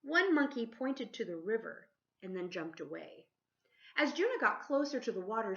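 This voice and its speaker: Female storybook reader, teacherly and animated, engages listeners with questions; lively pitch, slightly muffled lo-fi recording. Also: vocal fry. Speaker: teacher reading a picture book aloud. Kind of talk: expressive children's storybook read-aloud. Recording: dull lo-fi sound.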